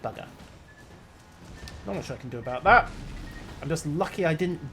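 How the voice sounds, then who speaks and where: A man's voice: on the high side, lively intonation, moderate pace, sharp tone, soft background music. A man streaming a naval battle game, talking himself through each move with dry, wry asides. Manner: dry, wry, muttering self-commentary. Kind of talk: live gameplay commentary.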